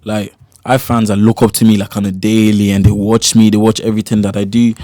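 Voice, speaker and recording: A man's voice: fairly low pitch, flat delivery, quick pace, warm tone, no echo. A young man from Cork, a guest musician chatting casually in a small radio studio on a desk mic, laid-back and full of slang, often asking "do you get what I mean". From Cork, Ireland. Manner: laid-back, loose slang, keeps checking in with the listener. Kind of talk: casual interview chat. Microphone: studio microphone on a desk stand.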